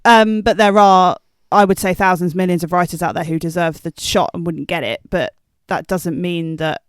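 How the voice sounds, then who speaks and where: A man's voice: high-pitched, fairly even intonation, normal speed, no room sound, clean studio sound. A man co-hosting a podcast, talking casually in a debate about film. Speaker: podcast host.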